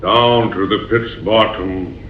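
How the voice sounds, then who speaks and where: Sinister male pit guard, old radio adventure: low, drawn-out, ominous commands, muffled echoey recording. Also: steady tone, a little hiss or hum. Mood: cold command. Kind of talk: 1940s radio adventure acting.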